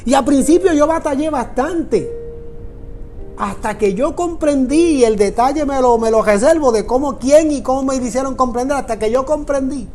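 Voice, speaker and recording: A man's voice: very high voice, moderate pace, darkish tone, faint music behind. A man with a Puerto Rican Spanish accent, a pastor preaching a sermon in an earnest, repetitive way that speaks directly to listeners. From Puerto Rico. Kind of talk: sermon on prayer.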